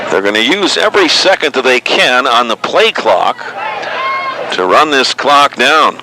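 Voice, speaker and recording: A man's voice: medium pitch, animated, a little slow. American man calling football over background noise. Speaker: radio play-by-play announcer. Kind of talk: live American football commentary. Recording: noisy outdoor stadium ambience.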